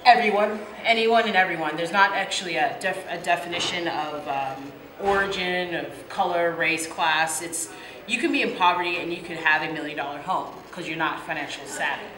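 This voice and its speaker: A woman from Los Angeles answers emphatically with a notably low voice. She is recorded in an echoey indoor room, with a crowd murmuring clearly behind her. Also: normal speed. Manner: emphatic, restarts mid-thought. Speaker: interviewee. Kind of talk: interview answer. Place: indoor room with mural wall.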